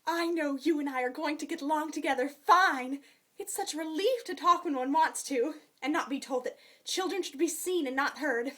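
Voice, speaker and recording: A woman's voice: sits high, moderate pace, a bit bright. A woman performing a dramatic monologue as a talkative, starry-eyed young orphan girl, effusive and dreamy in a theatrical way. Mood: eager, chatty. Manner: effusive, dreamy, theatrical, swinging between gushing and wistful.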